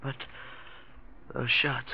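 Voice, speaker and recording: An actor playing a scheming young nephew, nervous, defensive and arrogant, in a 1940s radio drama. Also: male voice, drawn-out words. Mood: stunned. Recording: muffled old radio recording.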